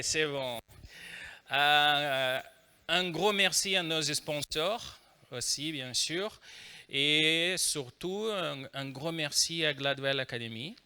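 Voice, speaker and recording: Bright slow Brazilian-accented man speaking. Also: on the high side, smooth. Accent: Brazilian Portuguese. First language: Portuguese. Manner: joking, hesitant with many fillers. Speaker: conference speaker. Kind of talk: conference talk.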